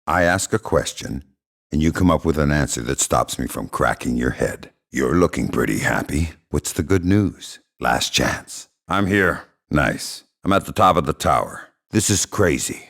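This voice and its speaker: An actor playing a hardened middle-aged spy in a video game, gravelly, terse and deadpan, with dry sarcasm and menace. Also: male voice, a bass voice, moderate pace, heavily acted. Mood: terse, focused. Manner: terse, deadpan, dryly threatening.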